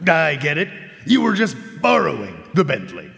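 Man playing a gravelly, wry dog detective. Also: fairly high pitch, wildly swooping pitch, moderate pace, pushed and bright, full character voice. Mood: wry, confident. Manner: wry, knowing, hard-boiled. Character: wry, shrewd male dog detective, teasing and patient. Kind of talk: live radio drama acting. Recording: echoey concert hall.

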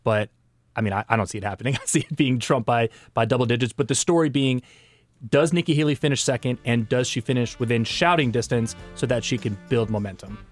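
Male panel reporter from the Netherlands, mid pitch, quick, dry mic. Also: no room sound, soft background music. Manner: quick, conversational analysis. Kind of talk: panel discussion.

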